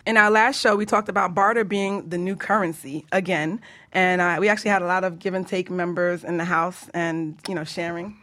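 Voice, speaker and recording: A woman's voice: fairly low pitch, steady tone, a little fast, fairly bright tone. A woman hosting a radio show in a casual, chatty style. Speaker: radio show host and life coach.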